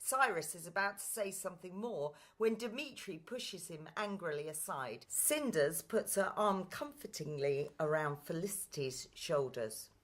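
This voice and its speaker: A woman's voice: fairly low pitch, lively intonation, unhurried, few pauses. A woman narrating scripted stage directions for a radio play.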